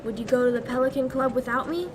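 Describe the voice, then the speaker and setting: A young woman plays a plucky, fretful sweetheart in 1940s radio acting style. Her voice sits very high, and there is clear vehicle noise behind her. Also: fast talker, close to an everyday voice. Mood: worried, insistent. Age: young adult. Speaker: high school actor.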